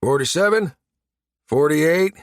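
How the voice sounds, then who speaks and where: A man's voice: fairly high pitch, animated, slow, dry recording, voice pushed up. Brief: grumbling foul-mouthed engineer for sci-fi audiobook, acted out by a male narrator, exasperated, dry close sound. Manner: exasperated, cursing.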